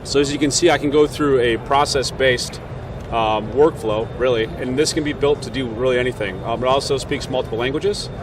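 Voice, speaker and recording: Man demonstrating a product amid clear background noise. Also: medium pitch, fairly quick. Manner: conversational, checks in with "right?". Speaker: company representative giving a product demonstration. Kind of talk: informal sales demo, explaining step by step. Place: trade show exhibition hall. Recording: noisy trade show floor.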